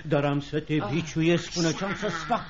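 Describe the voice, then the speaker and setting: A man's voice: on the high side, lightly acted. A man playing a cruel, sneering schoolmaster in a Romanian radio drama, full of mocking threats. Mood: menacing. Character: cruel, bullying schoolmaster husband, mocking and brutal. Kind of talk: Romanian radio theatre acting. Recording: dull, band-limited radio drama recording.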